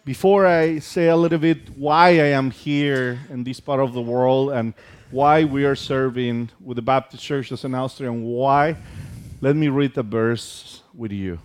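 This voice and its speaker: A man's voice: medium pitch, unhurried. A middle-aged man from Mexico, a native Spanish speaker, preaching as a pastor in short phrases that leave room for an interpreter.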